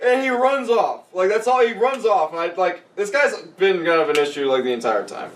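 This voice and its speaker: A man's voice: sits high, expressive, normal speed. A man telling a rambling, comic story to friends, casual and sweary, often close to laughing. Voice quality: frequent swearing. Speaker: podcast storyteller. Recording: roomy, distant mic with background hiss.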